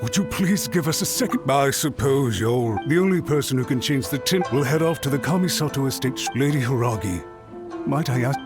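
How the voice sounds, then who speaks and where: Man playing a high-strung officer, swinging pitch. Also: lively intonation, fairly quick, lightly acted. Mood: apologetic. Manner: formal, tense. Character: high-strung, stern young male officer, dutiful and suspicious. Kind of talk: video game voice acting. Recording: music playing behind the voice.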